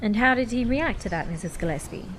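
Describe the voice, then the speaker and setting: An earnest sixties reporter played by a woman delivers an on-the-scene report in a radio drama. Her voice is fairly high, with clear ambient noise behind it. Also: animated, fast, close to an everyday voice, low-quality recording. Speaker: news reporter. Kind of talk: radio play news report.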